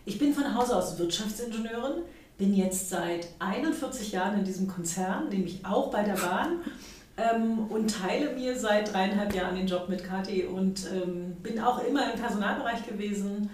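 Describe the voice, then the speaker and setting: A woman's voice: medium pitch, moderate pace, darkish tone, a little hiss or hum. A woman in her late fifties from Berlin, talking conversationally as a guest in an interview. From Berlin, Germany. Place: indoor room, in-person interview. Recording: very echoey room, distant mic.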